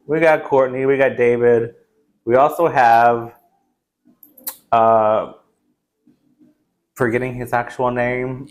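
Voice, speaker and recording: A man in his forties talks about films in a casual, chatty way. He rambles along as a review co-host, with a medium-pitched voice, picked up by a camera in a small room. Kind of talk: casual film chat. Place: small indoor game room.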